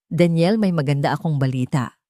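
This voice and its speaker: A woman's voice: low-pitched, a little fast, dry recording, clean studio sound, natural voice. A woman with a Filipino accent voicing an eager, loyal male friend in an audio story. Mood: excited. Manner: energetic, emphatic.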